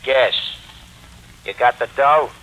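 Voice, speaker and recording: An actor playing a curt, menacing gangster with clipped, commanding slang, in 1940s radio crime style. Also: male voice, mid-range voice, slow, sharp tone, rough recording. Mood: curt, sly. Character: curt, menacing gangster giving ransom orders.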